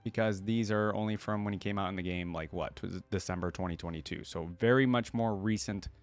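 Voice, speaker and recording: A man's voice: on the low side, a little fast, soft background music. A man giving casual commentary as he reads aloud and reacts, hosting a game reaction video.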